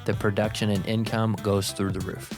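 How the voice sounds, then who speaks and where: A man's voice: fairly low pitch, little pitch movement, normal speed, over music. A man co-hosting a podcast in casual chat, explaining ideas step by step and teasing his co-host. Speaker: podcast co-host, insurance agency leader.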